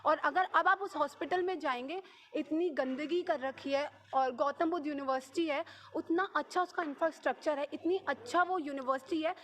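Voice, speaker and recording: A woman's voice: high voice, normal speed, a bit bright. A woman in her twenties with a North Indian accent, speaking insistently as a local resident in a spontaneous, impassioned street interview, mixing in English words. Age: 20s. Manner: insistent, repetitive, mixes in many English words. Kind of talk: impassioned spontaneous vox pop.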